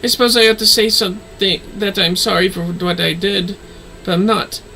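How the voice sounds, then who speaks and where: Man voicing an earnest high-pitched young maiden. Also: little pitch movement, moderate pace, vocal fry, pushed and bright, distant mic, a little hiss or hum, full character voice, rough recording. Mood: earnest, defiant. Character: timid, earnest young fantasy maiden defending her grandmother.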